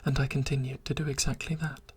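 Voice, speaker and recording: A narrator reading a dark story in a soft, steady whisper. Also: medium pitch, fast. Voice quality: very breathy.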